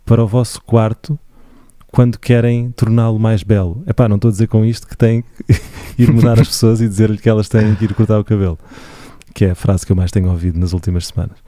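A man's voice: lowish voice, level tone, quick pace, warm tone, dry recording. A young man with a European Portuguese accent, co-hosting a casual podcast, laughing often and restarting his sentences. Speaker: podcast co-host.

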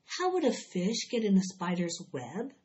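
A woman reading aloud, voicing a worried farm mother who chides gently and patiently. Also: mid-range voice, very animated, normal speed, lightly acted. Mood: chiding. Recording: dull lo-fi recording.

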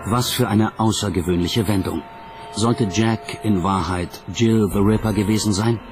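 A man's voice: fairly low pitch, steady tone, moderate pace, noisy background. A man narrating a documentary from a script in a dramatic storytelling style.

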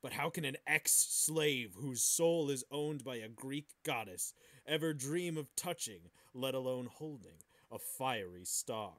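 A man's voice: medium pitch, expressive, measured pace, fairly bright tone. A man co-hosting a podcast, bantering casually and reading aloud. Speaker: podcast host.

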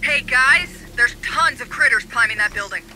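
An actor playing a pragmatic administrator turned activist who guides marines in a video game, earnest and commanding. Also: male voice, very high voice, animated, moderate pace, background noise. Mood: urgent. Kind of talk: video game cutscene and mission dialogue acting. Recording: game music behind the voice.

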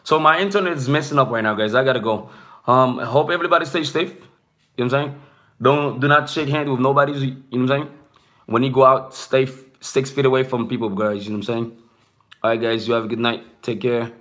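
A man's voice: mid-range voice, fairly even intonation, fast, fairly bright tone. A young man whose first language is not English, casually chatting with viewers in a live stream from a small room, rambling and saying "bro" a lot. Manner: loose, rambling, peppered with "bro" and "you know what I'm saying".

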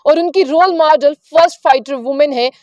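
A woman's voice: high voice, normal speed, darkish tone, no room sound, lo-fi sound. A young woman reporter, speaking to camera outdoors with a handheld mic, reading notes and correcting herself as she goes. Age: young adult. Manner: reads from phone, fluent with fillers and self-corrections. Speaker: news reporter. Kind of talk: piece to camera news report. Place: outdoors under a tree.

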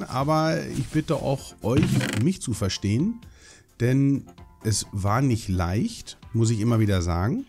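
A man's voice: mid-range voice, mellow. A young man with a cold, chatting casually and rambling as he hosts a Minecraft let's play, swearing now and then. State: hoarse from a cold. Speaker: gaming channel host.

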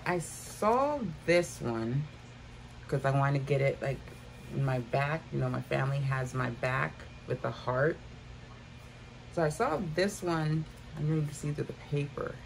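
Chatty woman in her fifties, gravelly. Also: very deep voice, a little hiss or hum, poor audio. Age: 50s. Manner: chatty, addresses viewers as y'all. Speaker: haul vlogger. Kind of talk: casual haul chat. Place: bedroom at home.

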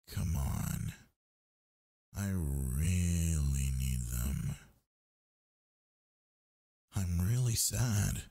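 Needy, teasing boyfriend for intimate audio roleplay, male actor: slow, mellow, breathy, murmured coaxing. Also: low-pitched, exaggerated voice. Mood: sulky, needy. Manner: coaxing, murmuring, drawn-out words. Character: sleepy, needy, teasing gamer boyfriend, young man.

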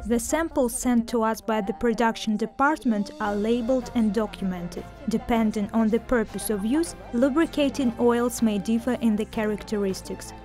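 Female technologist: higher register, formal scripted technical read with light music underneath. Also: normal speed, hardly any pauses, warm tone. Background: music bed.

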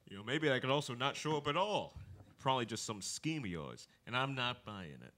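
A man's voice: medium pitch, expressive, fairly quick, heavy background noise, close to an everyday voice. A young man playing a grouchy, sarcastic middle-aged father in a radio play. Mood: grumpy. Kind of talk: live radio theater acting, scripted. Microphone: stand microphone up close.

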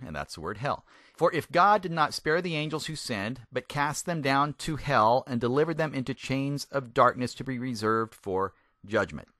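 Bright-voiced man teaching the Bible. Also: mid-range voice, no echo, studio quality. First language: English. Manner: rhetorical questions to the listener, deliberate emphasis. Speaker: Bible teacher, former pastor. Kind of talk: conversational sermon, Bible teaching with scripture reading.

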